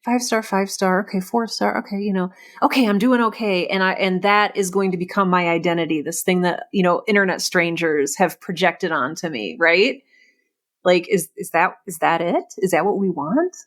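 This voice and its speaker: A woman's voice: lowish voice, normal speed. A woman hosting a conversational interview, speaking in a reflective way and posing open questions. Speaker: podcast host and interviewer.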